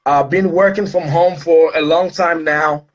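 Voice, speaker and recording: A man's voice: on the high side, fairly even intonation, a little slow. A man from Ghana, speaking as a guest in an online interview in an upbeat, motivational way with many fillers.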